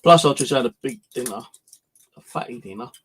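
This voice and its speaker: A man's voice: mid-range voice, lively intonation, a little fast, a little hiss or hum. A British man chatting casually on a livestream as its host, rambling and hesitant in an informal way.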